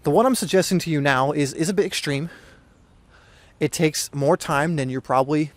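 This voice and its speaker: A young man hosting a soccer podcast, talking casually and earnestly with a hoarse, slightly weak voice and frequent restarts. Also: on the high side, moderate pace, a little hiss or hum. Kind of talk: casual solo podcast talk.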